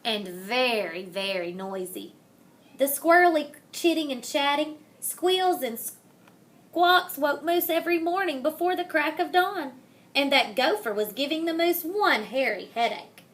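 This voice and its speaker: A woman reading a children's picture book aloud in a dramatic, deliberate manner, savoring the rhymes and wordplay. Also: sits high, animated, fairly bright tone. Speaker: storybook reader.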